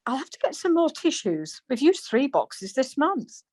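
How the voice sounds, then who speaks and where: Woman playing a sarcastic middle-aged wife, teasing, dry, slightly high voice, dead room. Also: sing-song, moderate pace, darkish tone, dry recording. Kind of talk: amateur radio play acting.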